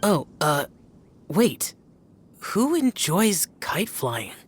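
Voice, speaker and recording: An actor voicing an aloof, reserved young male guardian spirit in a video game, terse and deadpan. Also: high-pitched, drawn-out words, breathy edge, exaggerated voice. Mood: reserved, pensive. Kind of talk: English video game voice acting. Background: game music.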